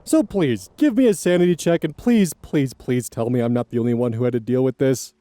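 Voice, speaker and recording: A man reading online stories aloud as host, adding blunt, profane commentary in a casual, animated way. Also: medium pitch, a little fast, no room sound.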